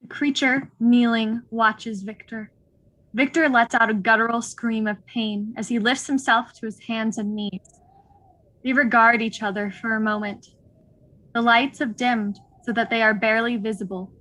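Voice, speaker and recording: Higher-voiced woman narrating a drama. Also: fairly bright tone, low-quality recording. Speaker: narrator reading stage directions. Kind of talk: scripted narration.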